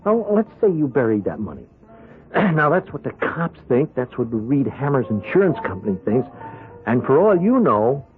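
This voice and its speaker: A band-limited radio drama recording with music carries a very animated male voice of middle pitch. It is an actor as a young American hoodlum, cocky and pushy, who wheedles and needles in streetwise slang. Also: moderate pace, narrow, tinny audio, close to an everyday voice. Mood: insistent. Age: young adult.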